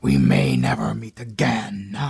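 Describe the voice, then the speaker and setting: A British man playing a solemn, kindly old village elder, speaking in a hushed, raspy, old-fashioned way. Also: on the low side, big pitch swings, measured pace, exaggerated voice. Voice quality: hushed, raspy, archaic speech. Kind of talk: audio roleplay acting.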